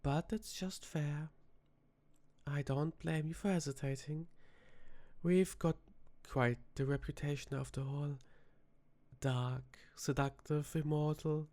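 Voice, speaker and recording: A man playing a flirty, charming vampire bartender in a soft-spoken ASMR roleplay, teasing and seductive in an unhurried way. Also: on the high side, fairly even intonation. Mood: flirty, coaxing.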